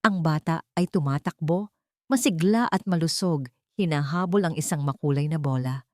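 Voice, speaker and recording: Low-pitched woman narrating a drama. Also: normal speed, darkish tone, no echo, clean studio sound. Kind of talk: scripted audiobook narration, first person.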